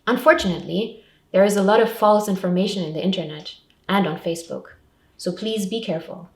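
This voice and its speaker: A woman's voice: mid-range voice, a little fast. A woman presenting a scripted public information talk, giving simple, step-by-step advice as a support counsellor. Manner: simple, clearly structured advice in short sentences. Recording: roomy echo, distant microphone.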